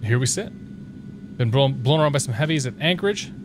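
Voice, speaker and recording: A man's voice: mid-range voice, expressive, fairly quick, noisy background. A slightly tipsy man chatting casually as he streams a flight simulator and reads his own radio calls. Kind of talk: casual gameplay commentary.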